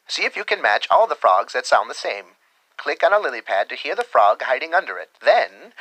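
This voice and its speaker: A man's voice: mid-range voice, pushed and bright, no room sound, poor audio. A man giving scripted, theatrical storytelling narration and instructions for a children's game.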